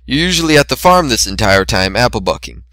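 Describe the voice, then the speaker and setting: A man's voice: mid-range voice, big pitch swings, brisk, sharp tone, dry recording. A man voicing a brash young female flying pony in a story, with a breezy, teasing manner.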